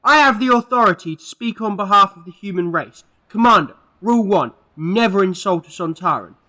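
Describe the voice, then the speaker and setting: Guy playing earnest sci-fi peacemaker hero, high sharp voice, urgent and scolding, audio drama. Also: animated, fairly quick. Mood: stern, reasoning.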